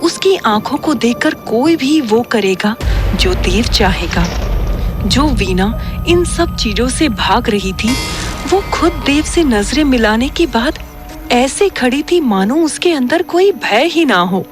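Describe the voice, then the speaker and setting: A woman narrates a horror story with dramatic, scripted delivery. She reads slowly in a fairly high voice over a faint music bed. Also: expressive.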